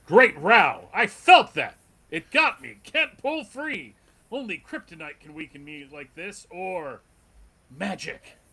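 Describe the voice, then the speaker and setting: A man voicing a mighty, cocky superhero, melodramatic and husky, in a comic book table read. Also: sits high, sing-song, slow pace, bright, forceful tone, heavily acted. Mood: struggling, alarmed. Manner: exclamatory, melodramatic. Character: mighty male superhero, exclamatory, indignant, cocky.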